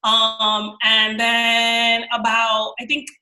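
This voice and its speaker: A young woman with a New York accent, telling stories as a podcast guest in an animated, slangy way. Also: a little high, extremely slow, reverberant. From New York, raised in Miami. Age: young adult. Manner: animated, slangy, quotes people in voices. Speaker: guest actress. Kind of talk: casual storytelling chat.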